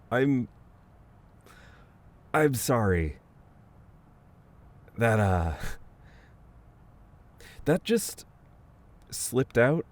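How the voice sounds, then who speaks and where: A man playing a caring, teasing, dominant best friend in an intimate audio roleplay, talking casually and conversationally. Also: medium pitch. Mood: flustered, apologetic. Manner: casual, conversational, with soft chuckles and hesitations.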